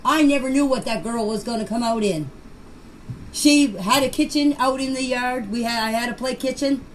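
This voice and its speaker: An older woman with a high-pitched voice shares personal memories. She talks in a plain, folksy way and circles back to repeat phrases. The amateur voice clip carries some background noise. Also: moderate pace, poor audio. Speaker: grandmother recounting memories. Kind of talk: informal personal recollection.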